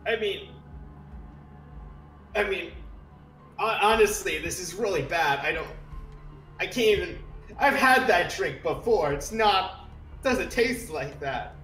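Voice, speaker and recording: A queasy, whiny young man played by a man at a tabletop game, protesting hesitantly. The voice sits a bit high and rings with room echo, with music behind it. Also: lively intonation, slow, long pauses, pushed and bright, exaggerated voice. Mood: anxious, complaining.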